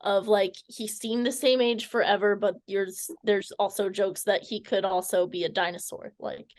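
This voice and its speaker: A woman interviewing a guest in a casual, conversational way, tentative and halting as she forms her thoughts. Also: mid-range voice, fairly even intonation, a little mellow.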